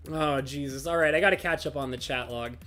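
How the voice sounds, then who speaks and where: A woman chatting casually on a live stream, gushing, laughing often and swearing freely, with lots of filler words. Her voice is scratchy from laughing so hard. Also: sits low. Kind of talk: casual livestream chat, reading chat messages.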